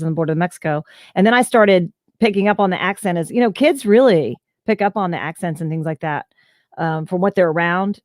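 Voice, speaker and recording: A woman's voice: lowish voice, a little mellow, no echo, clean studio sound. A woman voice actor, telling stories in a casual interview over a video call. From Southern United States. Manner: chatty, storytelling, lots of fillers. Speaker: voice actor guest.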